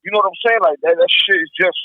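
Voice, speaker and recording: A man chatting casually as a podcast guest, slangy and sweary, with a gravelly, husky voice on a dull phone-like line. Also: high-pitched, fast, no echo. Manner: slangy, profanity-laced. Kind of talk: casual chat.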